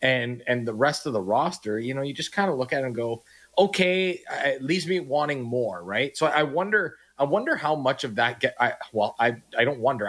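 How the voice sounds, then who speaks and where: A man's voice: medium pitch, a bit bright, husky. A man co-hosting a sports radio show, rambling and restarting sentences as he thinks aloud. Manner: rambling, restarts sentences, acts out quoted reactions.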